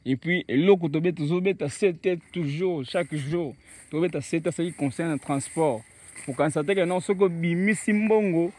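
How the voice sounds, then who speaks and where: Guy filming himself in a field on his phone, kinda high voice, lots of outdoor noise, chatty. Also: darkish tone. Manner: mixes Lingala and French, chatty, repeats words. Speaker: reporter presenting a building project. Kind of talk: casual field report. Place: open grassland outdoors.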